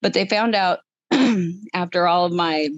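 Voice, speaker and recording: Female podcast guest, fifties, lower-pitched, emphatic conversational storyteller, slightly muffled lo-fi sound. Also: steady tone, measured pace, dry recording. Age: 50s.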